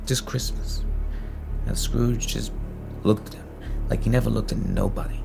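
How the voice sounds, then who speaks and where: A man acts as a reluctant folksy storyteller in an audio drama. The voice is low and very creaky, halting and plain-spoken, over music. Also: steady tone. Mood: hesitant, musing. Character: reluctant, folksy male storyteller retelling a Christmas tale. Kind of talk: audio drama framing narration.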